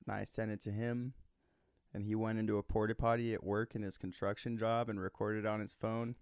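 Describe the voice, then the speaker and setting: A male musician jokes in a deadpan manner during a casual interview. His voice is low and gravelly. It is recorded close and dry. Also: a little fast, no room sound. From Nebraska, US. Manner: deadpan, joking. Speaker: guest musician.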